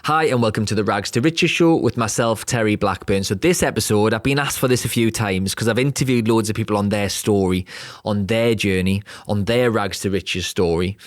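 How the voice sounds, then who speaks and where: A man's voice: lowish voice, a little fast, dry recording, studio quality. A man in his thirties with a Geordie accent, hosting a podcast and telling his own story bluntly, with a streetwise tone and the odd laugh mid-sentence. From Newcastle upon Tyne, England. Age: 30s. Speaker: podcast host. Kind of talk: candid personal storytelling.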